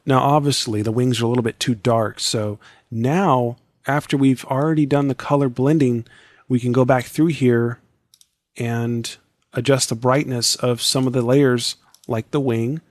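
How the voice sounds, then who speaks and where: A man guides viewers through an editing tutorial one click at a time, relaxed and chatty. His voice sounds scratchy and worn, as if he is losing it. It is recorded close and dry, with no room sound. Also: mid-range voice, a little slow, no echo. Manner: step-by-step instructive, relaxed and chatty. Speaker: software tutorial instructor. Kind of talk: screen-recorded software tutorial.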